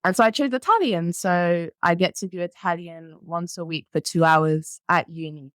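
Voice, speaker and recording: Guest voice: 19-year-old woman from France, native French, lowish pitch, dry close sound, chatty with frequent ums and laughs. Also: normal speed, dry recording. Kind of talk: casual interview chat.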